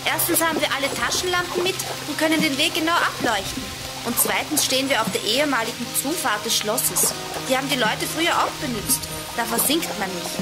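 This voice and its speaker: Woman acting a child detective, high voice, very animated, decisive, echoey hall, music behind. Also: brisk. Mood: reassuring. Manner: decisive, urgent. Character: bold, bossy child detective leading a gang. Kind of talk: radio play acting.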